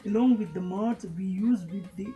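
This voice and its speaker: A woman with a husky voice reading a children's story aloud, a little haltingly. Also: mid-range voice, warm tone, dull audio, faint music behind. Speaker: story reader.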